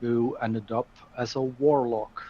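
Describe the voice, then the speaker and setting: Male player from Belgium, slow, dry, muffled audio. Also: mid-range voice, fairly even intonation. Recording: dull lo-fi audio.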